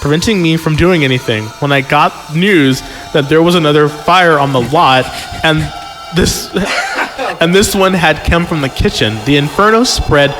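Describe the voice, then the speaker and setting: A man reading a story aloud as a podcast host, giggly and excitable, often breaking off into casual joking asides. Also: fairly high pitch, measured pace, low-quality recording. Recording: music behind the voice.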